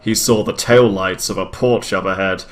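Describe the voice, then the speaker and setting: A man's voice: deep voice, steady tone, normal speed. A man giving a comic dramatic reading of fan fiction as a host, with amused asides. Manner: amused, breaks into laughter while reading. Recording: music behind the voice. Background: background music.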